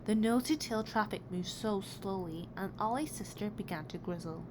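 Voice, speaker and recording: Noisy recording, woman, mid-pitched voice, children's read-aloud narration, expressive, deliberate emphasis. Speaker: picture book reader. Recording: clearly noisy background.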